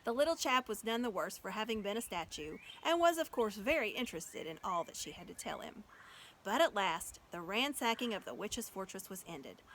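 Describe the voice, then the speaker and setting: A woman reading a children's story aloud as an expressive storyteller. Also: medium pitch, normal speed, slight background noise.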